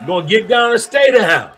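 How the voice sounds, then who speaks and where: A middle-aged man hosts a podcast monologue. His voice sits a little high for a man and swings widely in pitch. He speaks bluntly, with street slang and strong opinions. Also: a bit bright, slight background noise. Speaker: podcast host.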